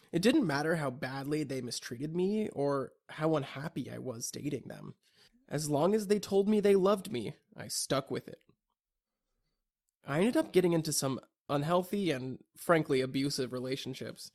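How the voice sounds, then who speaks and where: A young man with a slightly high voice narrates a personal audio log. He speaks earnestly and deliberately, as if reading a prepared, introspective reflection. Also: expressive. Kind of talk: scripted reflective personal monologue.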